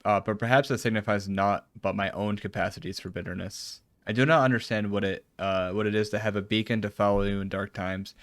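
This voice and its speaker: A man with a fairly low voice plays a game on stream. He reads formal story dialogue aloud, stumbling now and then, and comments in a casual way. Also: a bit bright, no room sound.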